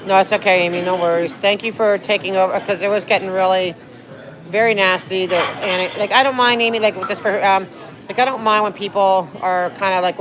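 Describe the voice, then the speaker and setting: A woman's voice: mid-range voice, rough recording. Chatty New Jersey woman livestreaming. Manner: chatty, rambling, reads viewer comments aloud. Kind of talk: casual livestream chat. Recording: crowd chatter in a busy public place.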